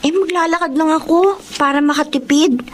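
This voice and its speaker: A high, youthful female voice with faint noise behind it, an actress playing a devoted student daughter in a Filipino radio drama. She speaks respectfully and earnestly, weighed down by worry. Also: normal speed, natural voice. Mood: earnest, resolved. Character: dutiful, caring young student daughter, worried.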